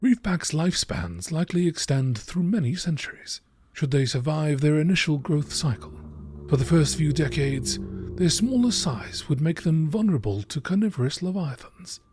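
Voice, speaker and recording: A man reading scientific entries aloud as scripted narration in a nature documentary style, measured and carefully enunciated. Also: on the high side, lively intonation, darkish tone, breathy edge, dry recording.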